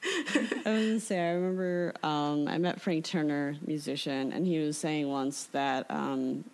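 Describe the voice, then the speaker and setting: A woman's voice: deep voice, fairly even intonation, unhurried, a little mellow. An American woman hosting a casual interview, informal and joking in how she talks. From United States, Illinois. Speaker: podcast host.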